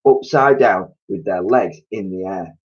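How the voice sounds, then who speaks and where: A man's voice: low-pitched, lively intonation, a little slow, highs cut off. A man voicing a father monkey who steadily leads his family. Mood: determined. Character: father monkey, calm and clever leader.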